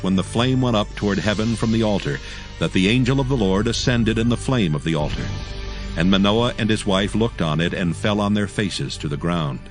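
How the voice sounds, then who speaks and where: A man narrating dramatized scripture as a solemn, measured storyteller. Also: on the low side.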